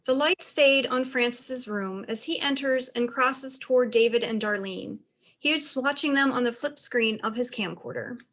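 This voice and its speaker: A woman's voice: a little high, moderate pace, a bit bright. A woman reading out stage directions as the narrator of a dramatized play reading.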